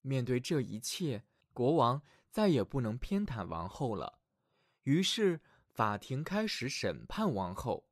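A man tells a fairy tale as a storyteller for children. His scripted narration is dramatic and clearly phrased, with a pitch that rises and falls widely. The recording is dry and close. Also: sing-song, measured pace, dry recording. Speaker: children's storyteller, show host.

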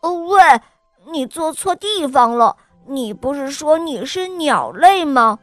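A woman voicing a bossy little male monkey in a children's story, with a sharp, scolding manner. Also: sits high, very animated, slow pace, no room sound, studio quality, faint music behind, exaggerated voice.

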